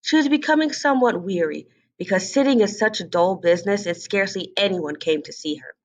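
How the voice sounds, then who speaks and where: A woman's voice: mid-range voice, fairly quick. A woman storyteller expressively narrating a scripted children's story.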